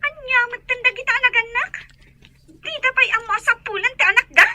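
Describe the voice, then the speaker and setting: A woman voicing a sharp, irritated caller in an Ilocano radio-style drama, on a noisy recording. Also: very high-pitched, fairly quick, exaggerated voice. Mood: irritated scolding. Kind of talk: Ilocano audio drama acting.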